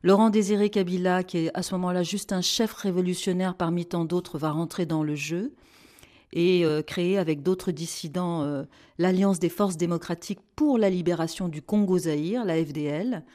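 A woman hosting a radio interview, guiding her guest with composed questions and small pauses. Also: on the low side, warm tone. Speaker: radio host.

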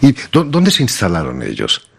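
A man's voice: deep voice, wildly swooping pitch, moderate pace, gravelly, slightly rough. A man hosting an interview, curious and prompting follow-up questions. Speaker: interviewer, host. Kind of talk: conversational interview.

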